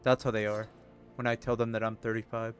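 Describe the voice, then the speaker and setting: A man's voice: lowish voice, level tone, a little fast. A man in his thirties, streaming a game and chatting casually with his viewers, laughing and swearing easily. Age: 30s. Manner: laid-back, swears and laughs easily, talks to chat. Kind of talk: casual live-stream chat while gaming. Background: faint music.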